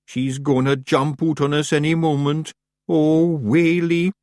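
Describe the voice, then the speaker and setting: A man voicing tiny panicky fairy men who wail in squeaky Scottish voices. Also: little pitch movement, measured pace, a little mellow, no echo, studio quality, close to an everyday voice. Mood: worried. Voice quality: wailing, squeaky.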